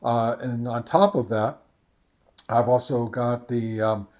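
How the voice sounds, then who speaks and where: A man giving a casual, informal product review and field test, talking in a halting, conversational way. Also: mid-range voice, fairly even intonation, darkish tone. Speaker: ham radio reviewer and vlog host.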